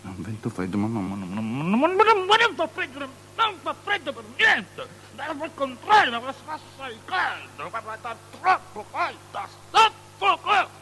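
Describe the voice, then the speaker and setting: A man's voice: extremely high pitch, huge pitch swings, a little slow, few pauses, bright, forceful tone, a little hiss or hum, heavily acted. An actor playing a lecherous, fearful biblical king in a theatrical drama, stammering and repeating himself obsessively between whispers and shrieks. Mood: feverish, unsettled. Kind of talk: avant-garde theatrical radio drama.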